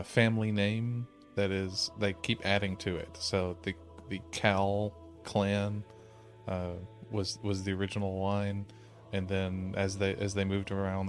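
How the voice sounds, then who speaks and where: Guy in a tabletop game, lowish voice, halting casual chat, bit of music behind. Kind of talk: casual chat, explaining character lore.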